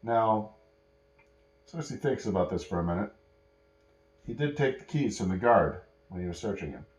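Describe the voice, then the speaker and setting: A man with a fairly low voice tells a bedtime story in a room with clear echo. He narrates in an improvised way, pausing and correcting himself as he builds the scene. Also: animated, darkish tone. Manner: pauses and self-corrections while telling. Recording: echoey room.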